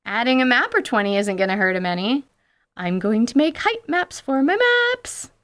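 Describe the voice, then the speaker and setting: A woman's voice: fairly high pitch, sing-song, normal speed, a bit bright. A woman hosting a scripted, playful commentary on tabletop gaming as a game master, with theatrical emphasis and mock-dramatic asides. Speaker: podcast host and game master.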